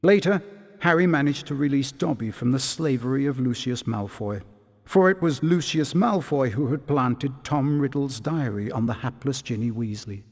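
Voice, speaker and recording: A man narrating a video game story from a script in a measured, dramatic storytelling manner. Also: mid-range voice, a little mellow. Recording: long reverb.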